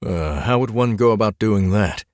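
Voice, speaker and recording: Deep-voiced earnest bookish husband, read by a man. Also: normal speed, darkish tone, no room sound, clean studio sound, close to an everyday voice. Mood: hesitant, earnest. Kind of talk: fantasy audiobook character dialogue.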